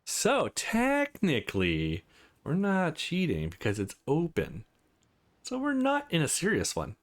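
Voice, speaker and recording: Man with very animated pitch, reading aloud. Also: measured pace. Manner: expressive, acts out dialogue between narration. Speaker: let's play host. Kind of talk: reading visual novel aloud with casual commentary.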